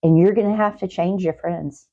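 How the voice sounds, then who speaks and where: A woman talk show guest giving heartfelt, faith-based encouragement in a halting way, repeating words and phrases. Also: on the low side, steady tone, muffled recording.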